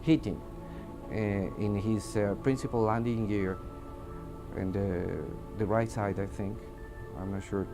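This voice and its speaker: A man's voice: lowish voice, fairly even intonation, measured pace. A man with a Mexican accent, a native Spanish speaker, giving testimony as an air traffic controller in hesitant, broken English, searching for words. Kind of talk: interview testimony in halting English. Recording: music under the voice. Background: music.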